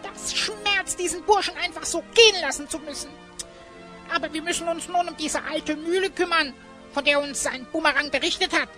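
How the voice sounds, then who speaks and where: Sehr hoher, greller, frecher Kumpan. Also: female voice, very high voice, normal speed, pushed and bright, soft background music. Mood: grumbling. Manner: indignant, sarcastic. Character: cheeky, food-loving, easily offended cartoonish sidekick Queck. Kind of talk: children's radio play acting.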